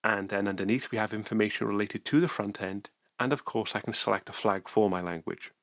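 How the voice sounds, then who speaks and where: Low gravelly man narrating a screencast. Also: a little fast, dry recording, clean studio sound. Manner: calm, methodical step-by-step explaining. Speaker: software tutorial presenter.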